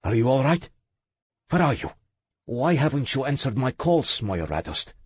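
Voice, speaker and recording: A man's voice: medium pitch, very animated, normal speed, husky, no room sound, voice pushed up. A man narrating an audiobook, voicing an older uncle who coaxes and threatens. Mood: coaxing. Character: older Russian uncle, smooth and menacing.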